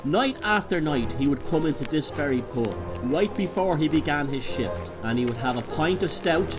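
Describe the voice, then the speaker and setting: A man with a Dublin Irish accent narrating a horror tale in a deliberate, theatrical pub-yarn manner. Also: mid-range voice, poor audio. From Ireland. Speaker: storyteller. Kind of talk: dramatic horror story narration.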